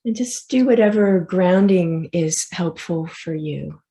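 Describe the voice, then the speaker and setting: A woman leading a guided meditation as the teacher, speaking softly and reassuringly in gentle, unhurried instructions with pauses. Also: on the low side, animated.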